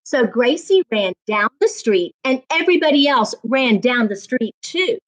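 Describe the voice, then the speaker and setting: A woman reading aloud and teaching as a storytime reading coach, with deliberate, emphatic phrasing meant for young listeners. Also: on the high side, lively intonation, poor audio. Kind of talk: expressive children's read-aloud and teaching.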